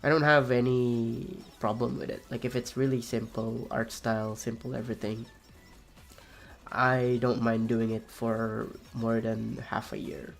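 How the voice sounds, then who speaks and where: A young man with a raspy voice, streaming a game and rambling casually with many fillers and restarts. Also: mid-range voice, flat delivery, a little slow, soft background music. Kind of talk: casual chat while playing a game.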